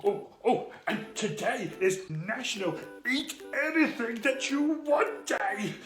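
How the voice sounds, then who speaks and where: A woman's voice: on the high side, big pitch swings, takes it slowly, soft background music. A woman voicing a childlike, greedy and fanciful fuzzy puppet in a children's comedy sketch, relishing each item in an earnest way. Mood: dreamy, greedy. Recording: roomy echo.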